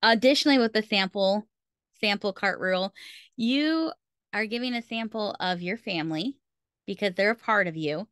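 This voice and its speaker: A woman's voice: medium pitch, normal speed, a bit bright, clear, resonant tone, dry recording, clean studio sound. A woman hosting a podcast, giving informal instructional talk and stressing key points by repeating them. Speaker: podcast host.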